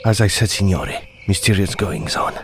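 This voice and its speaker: A low-voiced actor's Italian servant in an audio drama, deferential and uneasy. He speaks with grave foreboding over faint night-insect ambience. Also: very animated, normal speed, gravelly, background noise, full character voice. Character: uneasy male Italian servant, respectful and ominous.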